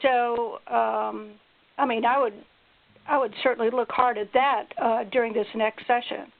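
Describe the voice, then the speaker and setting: A woman senator deliberating aloud in a committee call, halting as she searches for words. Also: a little high, fairly even intonation, gravelly. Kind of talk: informal deliberation in a meeting. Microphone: telephone. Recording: tinny conference phone line.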